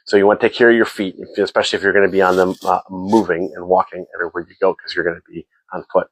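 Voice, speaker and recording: A man's voice: sits low, level tone, racing, breathy edge, no echo, rough recording. A man hosting a casual gear talk, sounding stuffy and a bit nasal, rambling with repeated words and frequent ums. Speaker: host.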